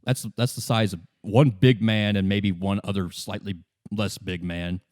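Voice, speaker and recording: Man doing detective's inner skill voice, tabletop game, analytical, laid-back, low-pitched, dry recording. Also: steady tone, a little slow, a little mellow, no room sound, close to an everyday voice.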